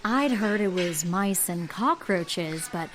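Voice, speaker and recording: An actress playing a sardonic diner waitress in an audio drama, teasing and skeptical but fond. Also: female voice, fairly low pitch, lively intonation, slow pace, a little hiss or hum. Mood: wry, teasing.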